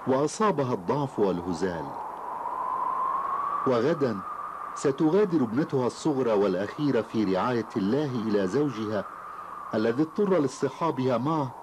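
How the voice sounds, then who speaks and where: A man's voice: mid-range voice, expressive, brisk, close to an everyday voice. A man narrating a children's cartoon tale in formal Arabic as a solemn storyteller. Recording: noisy, hissy old cartoon soundtrack.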